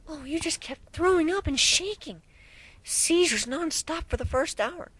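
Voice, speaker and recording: Man putting on a tiny, high-pitched timid girl pony. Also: lively intonation, measured pace, fairly bright tone, slight background noise, full character voice. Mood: frightened. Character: timid, frightened young female pony, peeping softly.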